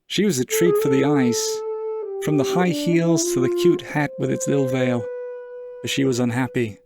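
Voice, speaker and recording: An actor playing a world-weary, wry 1940s private investigator in a hardboiled noir audio drama, deadpan and measured. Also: male voice, mid-range voice, creaky, close to an everyday voice. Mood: brooding, wry. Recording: saxophone music under the voice.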